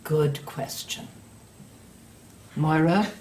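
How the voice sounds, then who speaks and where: An actress playing a stern, sanctimonious older woman indoctrinator in an audio drama, measured and condescending. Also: low-pitched, a little mellow, a little hiss or hum. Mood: cold, commanding.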